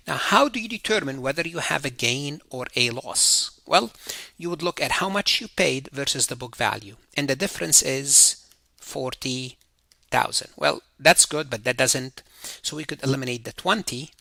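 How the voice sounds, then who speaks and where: A man teaches in a casual, didactic style, often asking a question and then answering it. His voice is very sharp and forceful, with some gravelly creak. The sound is dry and close. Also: mid-range voice, no room sound. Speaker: accounting exam lecturer. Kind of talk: casual step-by-step tutorial explanation.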